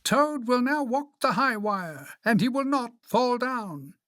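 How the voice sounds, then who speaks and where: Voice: medium pitch, lively intonation, slow, fairly bright tone, no echo, clean studio sound, voice pushed up. A reader voicing a strange, grandiose stage announcer from a dream in a children's storybook, proclaiming pompously. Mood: grand, proclaiming.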